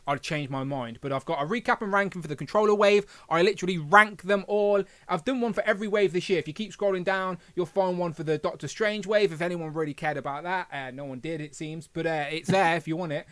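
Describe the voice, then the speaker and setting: A man's voice: high voice, quick pace, hardly any pauses, a bit bright, clean tone, no room sound. A man with a lisp hosting a casual live stream chat, rambling and joking at his own expense. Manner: chatty, rambling, self-deprecating.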